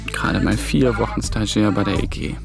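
Young stressed-out intern, anxious, music behind him. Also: male voice, lowish voice, moderate pace, gravelly. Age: young adult. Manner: tense, worried inner monologue and polite phone talk. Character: young male EU trainee, anxious and driven. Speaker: EU trainee. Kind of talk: German TV drama acting.

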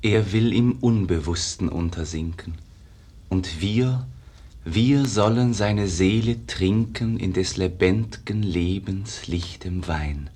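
A dreamy, reverent disciple in a 1950s radio verse drama. He speaks standard stage German in a low, husky voice, lingering on each phrase with solemn lyricism. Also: slow pace, darkish tone. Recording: slightly muffled 1950s radio recording.

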